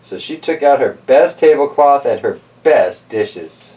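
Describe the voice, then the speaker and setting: A man reading a storybook aloud in an expressive, informal and chatty way. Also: medium pitch, unhurried, recorded from a distance. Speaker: storybook reader and host. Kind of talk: storybook narration with casual asides.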